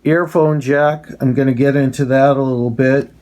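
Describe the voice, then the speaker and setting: A man giving a measured, plain-spoken casual review and demonstration as a hobbyist. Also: mid-range voice, steady tone, a little mellow.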